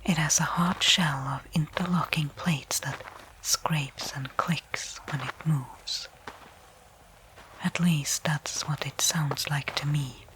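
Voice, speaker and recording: Casting: woman acting as a tense stranded astronaut for ASMR sci-fi roleplay; low, breathy whisper, deliberate delivery. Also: steady tone, low-quality recording. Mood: hushed, tense. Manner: slow, hushed, deliberate phrasing with pauses. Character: tense woman space explorer stranded on alien planet. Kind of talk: whispered ASMR audio roleplay, first-person monologue.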